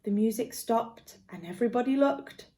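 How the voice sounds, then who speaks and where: A woman guest reader reading a picture book aloud to young children in an expressive storytelling manner. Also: a little high, moderate pace.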